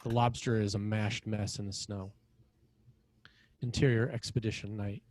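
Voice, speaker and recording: Low-voiced man narrating a screenplay. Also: little pitch movement, normal speed, darkish tone, dry recording. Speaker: narrator of a screenplay table read. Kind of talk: scripted narration, reading scene directions.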